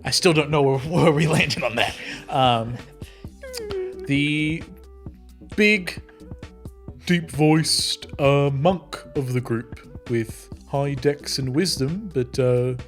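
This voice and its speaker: A man's voice: a little high, slow, soft background music. A man chatting casually with friends about writing and his tabletop game character, rambling and breaking off his sentences, often laughing. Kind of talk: casual group chat.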